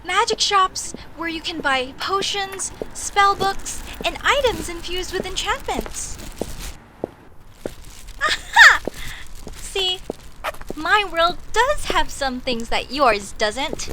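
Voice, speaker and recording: A young elvish mage voiced by a woman speaks in a high, swooping voice at an unhurried pace. She is outspoken and curious, questioning everything and quick to defend herself proudly, in the style of a comedic audio roleplay. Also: big pitch swings, noisy background, heavily acted. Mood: proud, eager.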